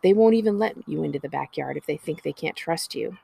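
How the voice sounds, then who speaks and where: Woman voicing a bossy, husky teenage big sister. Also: low-pitched, expressive, fairly quick, dark tone, no echo, exaggerated voice. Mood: exasperated. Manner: scolding, exasperated. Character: impatient, bossy, sardonic teenage older sister. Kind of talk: children's storybook character reading.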